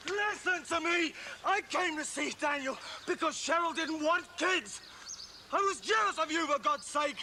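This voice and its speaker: An actor playing a defensive office boss who pleads to be believed. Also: male voice, very high voice, expressive, normal speed. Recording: background noise in a drama scene.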